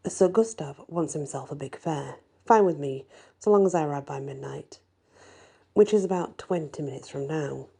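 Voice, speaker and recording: A woman reads a first-person fantasy story in an ASMR style, her voice soft, husky and breathy. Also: deep voice, moderate pace. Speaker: audiobook-style story reader.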